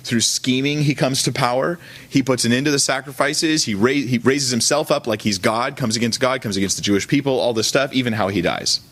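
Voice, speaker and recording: A man's voice: mid-range voice, a little fast, a bit bright. A man who is a pastor, teaching an informal sermon in a conversational, colloquial manner, often correcting himself as he goes. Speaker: pastor teaching a church service.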